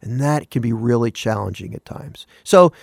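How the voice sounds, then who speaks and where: A man in a middle register talks earnestly into a dry, close studio mic, with almost no room sound. He reflects on faith in a relaxed, conversational way, stopping to correct himself and turning to ask the listener questions. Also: mid-range voice, normal speed, gravelly, dry recording. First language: not Spanish. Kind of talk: morning radio reflection.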